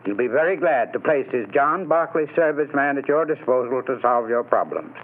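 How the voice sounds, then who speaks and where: A man delivering a 1930s radio sponsor pitch as an announcer, in a formal, emphatic, declamatory way. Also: animated, a little fast. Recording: tinny, narrow vintage radio recording.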